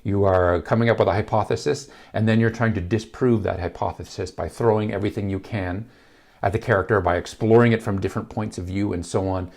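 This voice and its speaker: A middle-aged man, still a bit gravelly after being sick, hosting a casual filmmaking lesson in a big office, rambling with lots of fillers and restarts. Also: lowish voice, fairly even intonation, a little fast, darkish tone. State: slightly gravelly from illness. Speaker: filmmaking channel host. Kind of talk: casual talk to camera. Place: large indoor office space.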